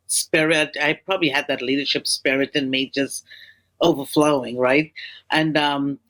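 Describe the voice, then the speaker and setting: A woman's voice: deep voice, fairly bright tone. A woman from Guyana, a school leader, talking as a guest in an interview and often repeating words while she thinks. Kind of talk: interview conversation.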